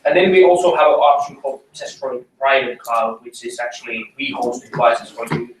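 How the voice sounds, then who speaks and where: Finnish-accented male speaker, halting with fillers, recorded from a distance in a very echoey meeting room with faint noise. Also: medium pitch, steady tone, crowd noise. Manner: hesitant, many ums and uhs. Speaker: conference speaker. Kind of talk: tech meetup presentation. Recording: roomy echo, mic from afar, faint noise.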